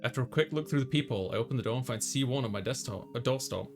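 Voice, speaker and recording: A man's voice: mid-range voice, rapid-fire. Man narrating a visual novel over music. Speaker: let's player voicing a visual novel. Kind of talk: reading game narration and dialogue aloud, dramatized. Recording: music playing behind the voice. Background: clear background music.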